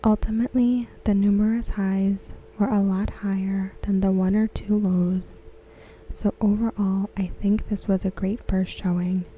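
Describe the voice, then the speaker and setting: Woman, ASMR host, hushed breathy delivery, very dark soft tone, even intonation, close mic, faint background noise. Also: medium pitch, rough recording. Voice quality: soft, breathy, near-whispered. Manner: gentle, hushed, measured delivery. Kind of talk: softly spoken ASMR read-aloud of forum comments.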